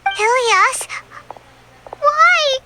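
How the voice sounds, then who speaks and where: A woman voicing a small, childlike, feisty fairy companion in a video game, squeaky and blunt. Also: lively intonation, extremely slow, heavy background noise, full character voice. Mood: pleading. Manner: squeaky, blunt, refers to herself in third person.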